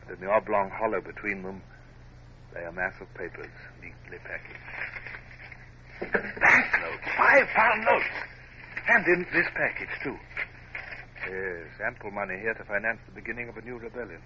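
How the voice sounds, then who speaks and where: Naval officer memoirist, low register, formal, literary and reflective, dull noisy old radio-drama sound. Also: male voice, very animated, moderate pace, long pauses. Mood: excited, absorbed. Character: reflective, scrupulous young male Royal Navy officer narrating memoirs. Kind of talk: dramatic first-person radio drama narration.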